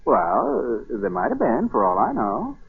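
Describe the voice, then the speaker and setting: A bashful but stubborn farmer in a vintage radio play. His husky voice rises and dips widely as he rambles hesitantly in a folksy way. The broadcast sounds narrow and muffled. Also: mid-range voice, wildly swooping pitch, a little slow, heavily acted. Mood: folksy, unruffled. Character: shy, stubborn, naive New England farmer. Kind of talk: 1940s radio acting.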